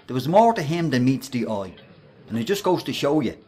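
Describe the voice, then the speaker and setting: A man's voice: mid-range voice, very animated, fairly quick, darkish tone. A man acting in a radio drama as a mocking male workplace bully, with a taunting manner. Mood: smug. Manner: taunting, mimics stammers. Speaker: actor in a radio play.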